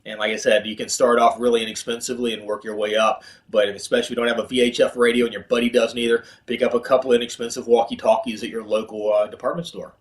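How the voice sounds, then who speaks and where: A man's voice: mid-range voice, flat delivery, fast talker, hardly any pauses, roomy sound. A man hosting an instructional video, talking in a casual, plain-spoken, practical way about gear. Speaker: video host, kayak fishing presenter. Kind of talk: casual instructional product talk.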